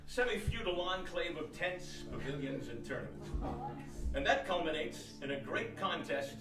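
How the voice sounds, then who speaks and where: Man as high-voiced, earnest mock-documentary narrator. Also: animated, normal speed, close to an everyday voice. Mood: earnest, grand. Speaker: narrator, announcer. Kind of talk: scripted mock-documentary narration in live radio comedy. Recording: echoey live stage, distant mic, music.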